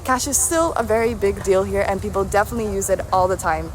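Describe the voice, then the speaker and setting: A woman's voice: mid-range voice, fast talker, a little hiss or hum. An American woman presenting a countdown list, earnest and leaning hard on "definitely". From United States. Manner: earnest, repeats "definitely" often, scripted list. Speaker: video presenter.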